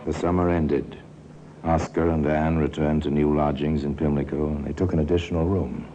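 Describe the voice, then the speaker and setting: A man's voice: rumbling low, flat delivery, normal speed, muffled recording. A man narrating a 1950s radio crime drama in a ruminative, wry, insinuating manner, as a knowing storyteller. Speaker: narrator and host of a crime anthology. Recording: old radio recording with music.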